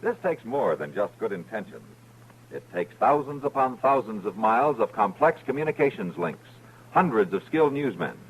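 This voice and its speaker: A man's voice: fairly low pitch, sing-song, normal speed, slightly rough. An American man reading a scripted 1950s radio promo in a polished, emphatic announcer style. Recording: faintly noisy old radio recording.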